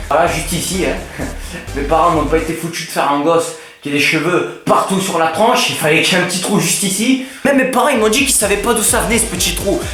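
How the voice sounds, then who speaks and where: A man's voice: high voice, reverberant. A teenage boy with a Southern French accent, chatting to camera in a casual, self-mocking vlog. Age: teens. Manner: joking, self-mocking, lively banter. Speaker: YouTuber.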